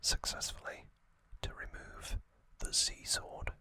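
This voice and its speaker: A man whispering trivia softly for an ASMR video, close to the microphone, with frequent ums and uhs. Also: slow pace, no room sound. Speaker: ASMR channel host.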